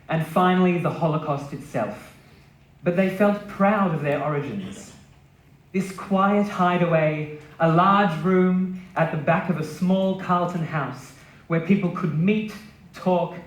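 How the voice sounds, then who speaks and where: A man giving a measured, deliberate dramatic reading of a scripted memoir, pausing between phrases. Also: a little high. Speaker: reader at a literary stage event. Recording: roomy, echoing live venue.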